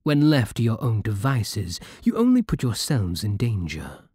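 Breathy, possessive British vampire king, acted by a man. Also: lowish voice, huge pitch swings, no room sound, clean studio sound, full character voice. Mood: haughty, taunting. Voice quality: breathy, soft. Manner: slow, menacing, haughty purring. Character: possessive, regal male vampire king, condescending and seductive. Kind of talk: ASMR roleplay.

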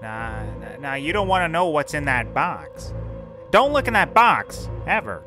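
A man's voice: a little high, big pitch swings, a little slow, sharp tone, faint music behind, full character voice. An actor playing an eccentric, rambling male detective in an audio comedy, erratic in delivery. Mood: evasive, jittery. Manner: erratic, swinging from muttering to outbursts. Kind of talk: scripted comedy audio drama.

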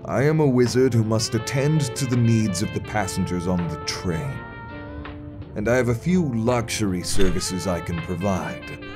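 An actor voicing a smug elf wizard train attendant with a soft, sensuous voice, in a comic dub. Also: male voice, fairly low pitch, expressive, normal speed, music in the background, natural voice. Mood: sly. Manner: sly, suggestive.

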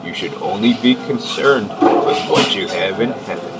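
A middle-aged man giving rambling, halting commentary as he reads out an article, sardonic and mock-preachy. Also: lowish voice, heavy background noise. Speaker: online commentator. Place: indoor room, seen on webcam. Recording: distant mic under loud music.

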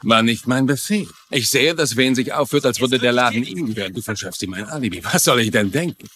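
A man's voice: medium pitch, animated, a little fast, fairly bright tone, a little hiss or hum, lightly acted. An actor playing an uneasy, suspicious lawman in a dubbed TV mystery, with a probing, sardonic manner.

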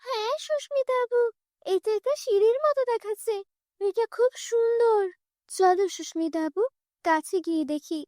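An eager little girl from a cartoon fairy tale, acted by a woman. Her voice is extremely high and piping, and she coaxes and pleads like a younger sister. The sound is dry and close. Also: moderate pace, mellow, dry recording. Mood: excited. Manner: pleading, coaxing.

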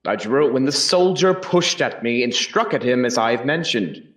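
A man's voice: mid-range voice, animated, normal speed, natural voice. A man playing a colonial Boston eyewitness giving plain testimony in a historical courtroom reenactment. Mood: matter-of-fact. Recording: roomy echo, distant microphone.

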